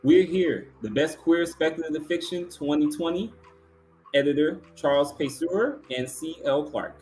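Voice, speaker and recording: A man's voice: fairly high pitch, moderate pace, darkish tone, a little hiss or hum. A man hosting an awards ceremony, announcing categories and finalists and reading out remarks.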